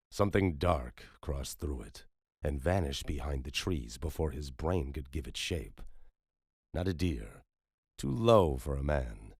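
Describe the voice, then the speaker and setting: Very deep, husky male horror narrator. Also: darkish tone, no room sound, studio quality. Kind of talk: scripted horror fiction narration.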